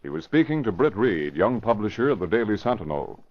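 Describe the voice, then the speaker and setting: A man's voice: on the low side, big pitch swings, fairly quick. A man narrating an old-time radio drama as announcer, formal and dramatic in his scripted delivery. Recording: dull old radio recording, music behind.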